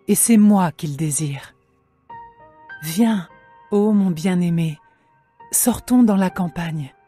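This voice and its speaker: A woman playing a tender, devoted young woman in love, in a slow, measured dramatised scripture reading. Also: on the low side, warm tone, slightly rough.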